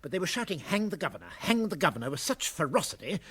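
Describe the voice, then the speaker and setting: A man's voice: high-pitched, big pitch swings, fast, fairly bright tone, husky, exaggerated voice. A man with a British accent playing a mild, genteel prison governor in a comic radio play, polite, prim and easily exasperated. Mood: fussy, polite.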